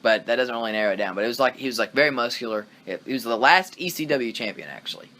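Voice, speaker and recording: A man co-hosting a casual wrestling review podcast, talking with excitement, rambling and swearing freely. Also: medium pitch, fast. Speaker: podcast co-host.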